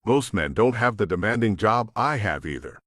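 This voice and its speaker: A man's voice: lowish voice, animated, normal speed, a bit bright, dry recording, clean studio sound. A man reading a romance novel as scripted audiobook narration, with acted dialogue.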